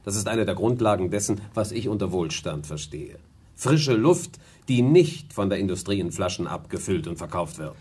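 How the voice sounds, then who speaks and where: An actor playing an idealistic government minister in a radio play, earnest and questioning. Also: male voice, low voice, lively intonation, moderate pace, a little mellow, slightly rough. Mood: earnest, indignant. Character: idealistic, indignant government minister longing for fresh air. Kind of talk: German radio play acting.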